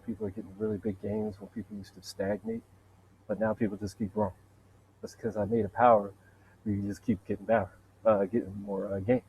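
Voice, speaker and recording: Man, deep voice, low pitch, husky, profane rambling, boastful, muffled lo-fi sound. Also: a little hiss or hum. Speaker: vlogger. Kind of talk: rambling solo monologue.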